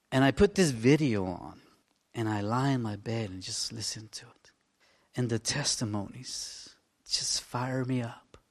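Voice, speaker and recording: A man in his fifties, a minister preaching in a fervent, rambling way that swings between loud exclamations and hushed talk. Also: mid-range voice. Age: 50s. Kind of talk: informal sermon.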